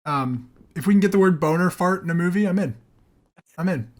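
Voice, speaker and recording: A man's voice: high-pitched, a little fast. A man co-hosting a podcast, running through entertainment news in casual, enthusiastic chat with some easy swearing. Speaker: podcast co-host.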